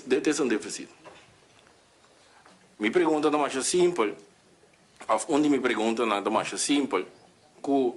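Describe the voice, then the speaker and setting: Halting man asking in parliament. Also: medium pitch. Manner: halting, repeats himself. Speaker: parliament member. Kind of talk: parliamentary debate question.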